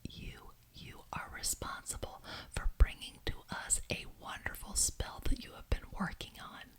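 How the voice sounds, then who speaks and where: A woman playing a gracious but bossy witch who chairs a coven meeting in an ASMR roleplay, speaking deliberately and repeating words for emphasis. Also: natural voice.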